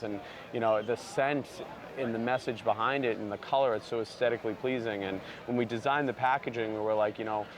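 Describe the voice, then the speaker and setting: Man, barber, earnest and rambling, middle pitch, loud background noise. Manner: earnest, rambling, many "you know" fillers. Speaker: barber and brand founder. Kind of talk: sit-down interview. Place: outdoors at a busy trade convention.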